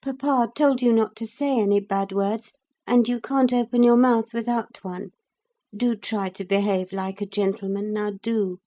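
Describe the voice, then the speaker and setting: A woman's voice: fairly high pitch, steady tone, a little slow, mellow, pitched above the performer's own voice, natural voice. A woman voicing a spiteful, sickly teenage boy in an audiobook, with a sneering, taunting manner. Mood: prissy.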